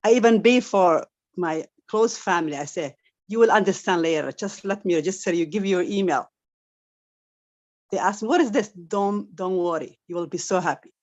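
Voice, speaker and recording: A woman's voice: lowish voice, darkish tone. Earnest woman, lo-fi call. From Somalia, raised in Saudi Arabia. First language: Arabic. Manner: halting, restarts often, grateful and earnest. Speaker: caller sharing a testimonial. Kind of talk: emotional testimonial on a video call.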